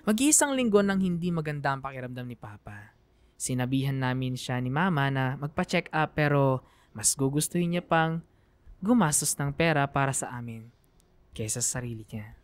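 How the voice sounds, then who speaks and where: A woman's voice: very deep voice, animated, normal speed. A woman with a Filipino accent giving an emotive, reflective scripted narration for a radio drama.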